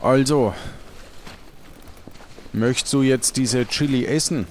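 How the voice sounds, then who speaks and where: A man narrating as game master of an improvised adventure audio play, with dramatic, drawn-out delivery. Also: medium pitch, darkish tone. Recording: noisy sound-effect ambience behind voice.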